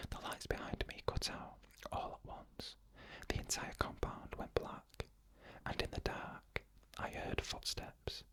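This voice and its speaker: Bright, breathy ASMR whisper narrator. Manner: fully unvoiced, breathy whisper throughout; slow, measured delivery with drawn-out pauses. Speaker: ASMR storyteller.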